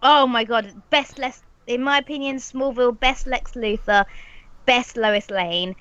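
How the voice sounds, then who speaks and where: A woman with a slight sniffle from a cold chats with emphatic enthusiasm. Her voice sits a little high and dry, and the video call audio is dull and lo-fi. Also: measured pace, fairly bright tone, no room sound. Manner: gushing, emphatic fan talk. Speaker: podcast guest. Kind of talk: casual chat.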